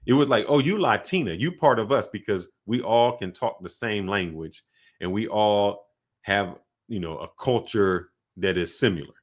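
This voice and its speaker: A man's voice: on the low side, lively intonation, normal speed. A man co-hosting a podcast, explaining his views at length in loose, casual speech. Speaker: podcast co-host. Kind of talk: casual chat.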